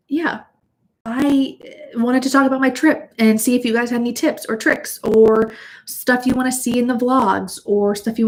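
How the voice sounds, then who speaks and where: A woman's voice: medium pitch, a little mellow. A young woman chatting casually on a livestream from home, a bit sniffly from allergies, rambling with fillers and restarts. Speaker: vlogger hosting a livestream. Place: at home indoors.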